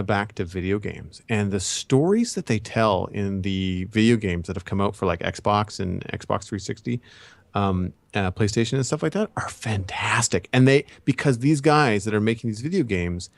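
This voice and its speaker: Low-voiced man chatting casually, dry sound. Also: animated, moderate pace, no echo. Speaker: podcast co-host. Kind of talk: casual pop-culture chat.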